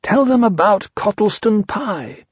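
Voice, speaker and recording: Man doing a slow, earnest storybook bear. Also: high voice, animated, slightly rough, no echo, highs cut off, pitched above the performer's own voice, heavily acted. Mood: gently hopeful. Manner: drawn-out, deliberate, simple-minded. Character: slow, earnest, honey-loving toy bear.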